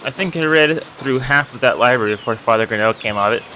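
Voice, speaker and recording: A friendly, humble miller from a historical video game, acted out by a man. He talks in a folksy, storytelling way with a bright, forward voice. A little noise sits behind him. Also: medium pitch, quick pace, lightly acted, rough recording. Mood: eager storytelling. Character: friendly, humble village miller who loves old legends. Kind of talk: reading video game dialogue aloud.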